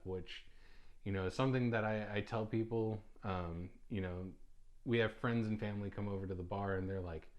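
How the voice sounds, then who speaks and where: Deep-voiced man, casual rambling podcast. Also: darkish tone. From United States. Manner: rambling, many ums and restarts. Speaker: podcast host. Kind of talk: casual solo podcast talk.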